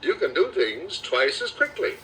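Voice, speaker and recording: A man's voice: on the high side, sing-song, normal speed, distant mic, noisy background, voice pushed up. A man reading the part of a kind, encouraging cook in a children's storybook. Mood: friendly, encouraging. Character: kind restaurant cook, friendly and helpful man.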